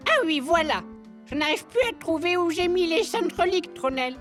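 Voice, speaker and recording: A scatterbrained matron with a very high, sing-song voice that bounces all over. She frets and forgets in this audio drama, with soft music behind her. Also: normal speed. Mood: fussy. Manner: forgetful, fussy, lively. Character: scatterbrained older woman leader, Madame Meda.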